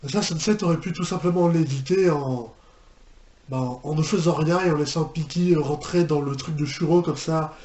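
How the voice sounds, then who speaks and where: A young man giving unscripted reaction commentary, halting and repeating himself in an incredulous way. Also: fairly high pitch, a little mellow. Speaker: reaction video host. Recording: echoey room with background noise.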